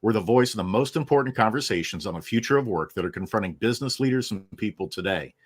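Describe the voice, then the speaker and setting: A man's voice: on the low side, animated, fast, husky. A man co-hosting a podcast, reading a confident intro and then chatting casually. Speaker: podcast co-host.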